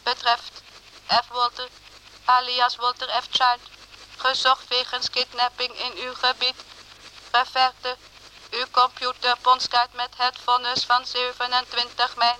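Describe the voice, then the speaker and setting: An actress playing an impersonal clerk in a radio drama, reading out official messages in a clipped, formal monotone. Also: female voice, fairly high pitch, a little slow, slight background noise, rough recording. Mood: officious, flat. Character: impersonal woman clerk reading out official police telegrams and memos. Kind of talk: radio drama, flat bureaucratic read-out.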